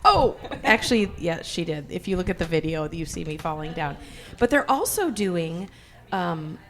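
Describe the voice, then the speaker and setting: A woman co-hosts a relaxed podcast, talking casually and breaking into laughter now and then. Her voice sits on the low side and sounds slightly hoarse. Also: moderate pace, a little hiss or hum. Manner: chatty, laughs often. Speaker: podcast co-host. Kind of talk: casual chat.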